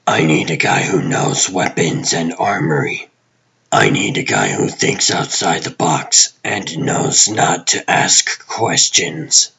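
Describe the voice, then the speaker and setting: A man voicing a guarded, commanding TV producer who is secretly a superhero in a comic dub, speaking tersely and deliberately in a husky, rough voice. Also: extremely low pitch, very animated, a bit bright, full character voice, rough recording. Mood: serious, secretive.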